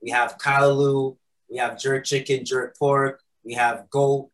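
A man's voice: mid-range voice, little pitch movement, unhurried, fairly bright tone. A man answering interview questions in a loose, conversational way, filling his answers with "um" and "right?". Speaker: guest, patty shop founder. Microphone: recording studio mic.

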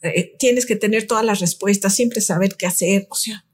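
A woman, speaking as a guest in a relaxed conversation and telling personal anecdotes. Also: medium pitch, normal speed, husky. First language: Spanish. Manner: reflective, tells anecdotes. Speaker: guest expert. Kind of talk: casual conversation.

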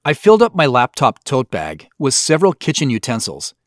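A man giving scripted behind-the-scenes commentary as a show's creator, explaining in a measured way. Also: medium pitch, huge pitch swings, no room sound, clean studio sound.

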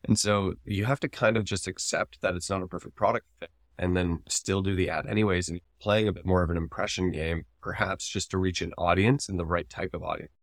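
A man's voice: low-pitched, steady tone, brisk, few pauses, dry recording. A man co-hosting a podcast, talking casually and analytically as he explains his opinions. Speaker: podcast co-host.

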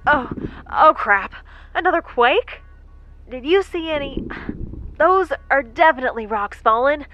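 A woman's voice: high-pitched, animated, a little slow, pauses often, lo-fi sound, soft background music. A woman playing a stranded, injured space explorer in a sci-fi audio drama, with a wry, folksy way of muttering asides. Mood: strained, puzzled.

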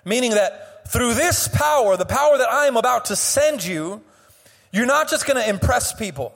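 A slightly hoarse young man preaching a sermon as pastor. He speaks with passion, stretches and half-sings phrases, and often restarts his words. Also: very high-pitched, animated, a bit bright, big-room sound. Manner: impassioned preaching with sung, drawn-out phrases and frequent restarts. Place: church sanctuary.

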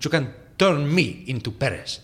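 An actor playing a vain, boastful older Latin American president in an audio drama, grandiose and blustering. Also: male voice, on the high side, lively intonation, moderate pace, fairly bright tone. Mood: imperious, curious. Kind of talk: audio fiction acting.

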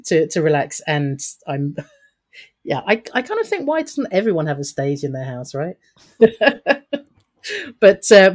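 A woman's voice: lowish voice, a little mellow. A woman talking as a guest in an interview, upbeat and chatty, laughing often and restarting her sentences. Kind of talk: podcast interview answers.

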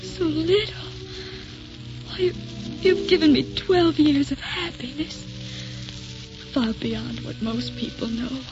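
High, slow, frail devoted wife. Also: female voice. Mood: adoring. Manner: tender, wistful, lingering delivery. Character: frail, dying young wife, devoted and dreamy. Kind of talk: 1940s radio drama acting. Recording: muffled old 1940s radio recording, music behind.

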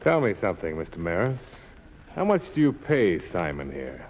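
An actor playing a tough, sardonic major in 1940s radio drama style, wisecracking and defiant. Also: male voice, sits low, big pitch swings, normal speed, darkish tone, a little hiss or hum, low-quality recording. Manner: wisecracking, hard-boiled.

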